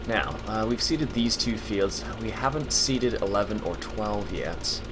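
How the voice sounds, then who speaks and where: Low-voiced Canadian man rambling over engines. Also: measured pace. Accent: Canadian English. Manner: rambling, with frequent filler sounds and soft chuckles. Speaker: let's play host. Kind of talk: casual gameplay commentary. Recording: steady loud game engine noise underneath. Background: farm vehicle engine sounds from the game.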